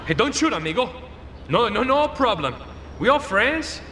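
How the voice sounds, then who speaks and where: Very high male voice, whining cartel henchman, Latin American Spanish accent, ingratiating, frantic, video game, reverberant and noisy. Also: big pitch swings, normal speed, pushed and bright, heavily acted. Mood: nervous, placating. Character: cowardly, pleading Colombian cartel henchman. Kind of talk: scripted video game cutscene acting. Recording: noisy, echoey, reverberant space.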